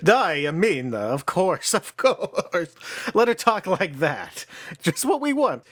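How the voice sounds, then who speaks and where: Actor as pompous, animated theatre manager. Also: male voice, sits high, slow pace, dry recording, full character voice. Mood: delighted. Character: pompous, fussy, exasperated theatre manager and director. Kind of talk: stage play acting.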